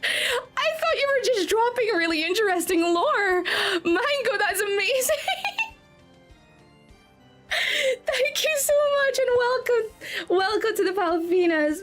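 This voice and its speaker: A woman's voice: very high-pitched, big pitch swings, moderate pace, soft background music. A woman with a Scottish accent live-streaming from home, bubbly and giggly, gushing thanks to her viewers. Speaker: VTuber streamer. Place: indoor home streaming setup.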